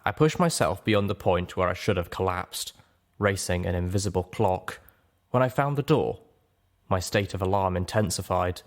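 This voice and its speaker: A man's voice: deep voice, moderate pace. A man reading a story aloud as narrator, in dramatic first-person audiobook style.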